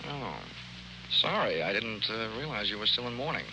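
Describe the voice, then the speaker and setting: A man's voice: fairly low pitch, big pitch swings, normal speed, background noise. A man acting a wry, flirtatious insurance investigator in 1940s radio detective style, with breezy wisecracks. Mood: wry, businesslike. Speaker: lead actor and narrator. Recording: old 1940s radio broadcast, music behind.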